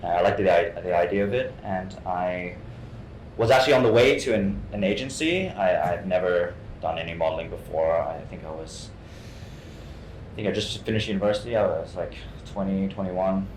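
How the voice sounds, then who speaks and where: A man's voice: low voice, moderate pace, a little mellow. A young man from Hong Kong, a guest actor, answering interview questions casually and often repeating words and restarting his sentences. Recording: roomy sound with faint noise.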